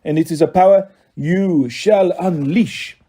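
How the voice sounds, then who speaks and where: A man's voice: high-pitched, a little slow, warm tone, husky. A man voicing a pompous, menacing male manticore villain with a French accent in a storybook reading. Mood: gloating. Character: gloating villainous male manticore in military disguise.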